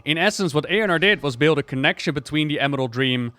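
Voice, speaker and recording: A man giving casual, excited reaction commentary on a video game, exclaiming and thinking out loud. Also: on the high side, quick pace, no room sound. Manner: expressive, exclamatory, thinking aloud.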